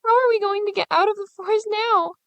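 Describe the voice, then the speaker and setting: A woman's voice: very high voice, fast talker, fairly bright tone, no room sound, voice pushed up. A woman voicing a frightened, tearful little girl in a fairy tale, plaintive and distressed. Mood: tearful, afraid.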